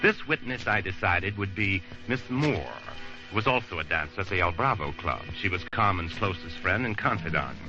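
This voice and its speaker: Low-voiced scheming lawyer, old radio noir. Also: male voice, lively intonation, normal speed. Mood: smug, calculating. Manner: wordy, theatrical, formal diction. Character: scheming, self-satisfied male lawyer narrating his own crime. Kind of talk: 1940s radio noir acting with narration. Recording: muffled old radio recording, music behind.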